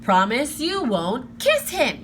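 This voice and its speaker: A woman's voice: high-pitched, sing-song, slow, over music, pitched above the performer's own voice, exaggerated voice. A woman voicing a cautious, bossy young boy in a children's storybook, speaking in an exasperated, emphatic, terse way. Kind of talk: children's storybook read-aloud.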